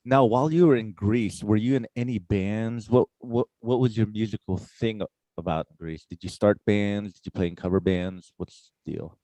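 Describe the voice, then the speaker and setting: Very deep-voiced enthusiastic male host. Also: steady tone, moderate pace, hardly any pauses, dark tone, dry recording, low-quality recording. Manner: enthusiastic, rambling questions. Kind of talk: casual interview chat.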